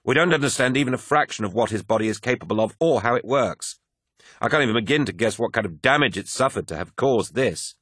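A man's voice: medium pitch, lively intonation, fast talker, fairly bright tone, husky, no room sound, studio quality, pitched above the performer's own voice. A man voicing a harried, defiant military surgeon in an audiobook, speaking urgently and snappishly. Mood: grave, baffled. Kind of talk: dramatised audiobook dialogue.

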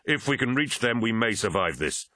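A man's voice: lowish voice, sing-song, moderate pace, bright, forceful tone, dry recording, voice pushed up. Man as a grave, urgent soldier captain. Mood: determined. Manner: earnest, grave. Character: grave, urgent, loyal male soldier captain bringing grim warning.

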